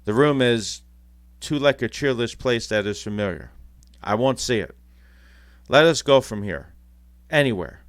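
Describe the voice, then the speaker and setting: A man voicing Scrooge, a bitter old miser, speaking in stern, halting protests. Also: mid-range voice, lively intonation, no room sound, voice pushed up, lightly acted. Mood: anguished.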